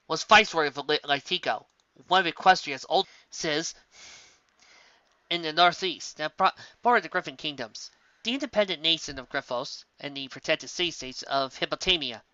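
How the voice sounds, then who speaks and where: A man narrating a fan-written story in a steady reading voice, with occasional slips and restarts. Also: fairly high pitch, clear, resonant tone, no room sound, lo-fi sound. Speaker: fanfiction reader.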